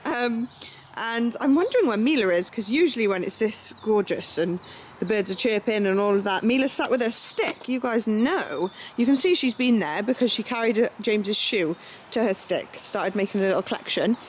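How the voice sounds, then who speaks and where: A woman's voice: a little high, lively intonation, moderate pace, slight background noise. A pregnant young woman chatting casually and playfully as she vlogs at home, laughing easily. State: heavily pregnant. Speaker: vlogger. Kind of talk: casual home vlog chat.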